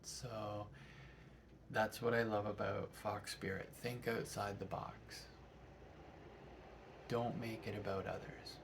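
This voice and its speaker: A middle-aged man giving a thoughtful, unhurried spiritual reflection to his viewers, often asking "right?". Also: fairly low pitch, level tone, background noise. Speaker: vlogger.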